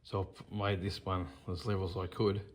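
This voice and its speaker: A man's voice: deep voice, little pitch movement, brisk. A man presenting a hands-on DIY how-to in a plain, matter-of-fact, step-by-step way.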